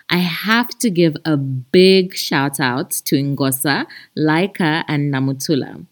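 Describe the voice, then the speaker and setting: Unhurried Zambian woman hosting a podcast. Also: sits low. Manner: expressive, addresses listeners familiarly as friends. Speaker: podcast host. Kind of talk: chatty podcast monologue with announcements.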